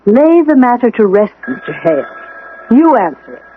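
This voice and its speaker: Through a tinny old radio broadcast comes a brisk housekeeper who takes no nonsense. Her delivery is animated and widely inflected, yet firm and crisp, always admonishing in the manner of radio drama. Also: a little high, slight background noise. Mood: firm, reassuring. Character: brisk, bossy, no-nonsense housekeeper to an anxious gentleman.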